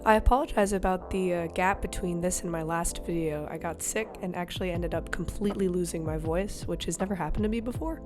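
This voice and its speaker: Woman, artist voice-over, slightly raw throat, chatty, low-ish voice, clear background music. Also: a little fast, hardly any pauses. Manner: chatty and easygoing, with frequent small laughs. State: slightly raw throat after losing her voice. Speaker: digital artist narrating her speedpaint. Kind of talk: casual voice-over commentary.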